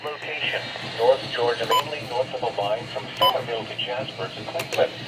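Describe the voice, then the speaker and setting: A man's voice: mid-range voice, brisk, a loud crowd. A man's formal, impersonal voice reading a scripted automated weather forecast, heard through a radio speaker. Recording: very noisy weather radio played in room.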